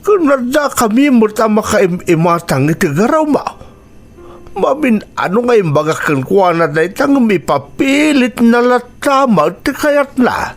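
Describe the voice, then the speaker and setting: A man's voice: very high-pitched, very animated, measured pace, full character voice. An actor with an Ilocano accent playing a concerned, protective elderly father-in-law in a radio drama. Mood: agitated. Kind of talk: Filipino radio drama acting.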